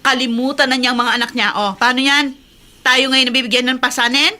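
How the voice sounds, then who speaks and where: An actress playing a nagging, distrustful wife in a radio soap drama, complaining and argumentative. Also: female voice, high-pitched, fairly even intonation, brisk, bright, forceful tone. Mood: indignant.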